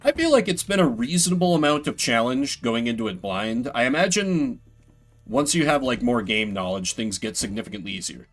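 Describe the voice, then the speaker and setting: A man with a mid-pitched, very animated voice streams a game with faint music behind him. He chats casually and rambles, dropping playful, self-deprecating asides as he goes. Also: brisk. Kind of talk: casual live gameplay commentary and chat.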